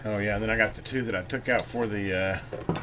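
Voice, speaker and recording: A man giving a casual hands-on repair demonstration, folksy and unhurried as he talks while working. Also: on the low side, fairly even intonation. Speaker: channel host doing electronics repair. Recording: noisy room, clearly audible background noise.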